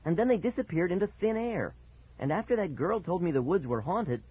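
A man voicing an excitable, easily exasperated young boy in a storybook reading, on narrow, tinny audio. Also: a little high, moderate pace, slightly rough, no room sound, pitched above the performer's own voice. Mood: insistent, worked up.

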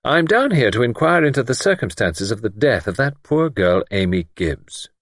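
A wry gentleman sleuth, as a male narrator plays him, with highly animated intonation and a slightly husky edge. He is patient but persistent, probing directly as in a detective storybook. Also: normal speed, no echo, studio quality. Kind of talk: audiobook character dialogue.

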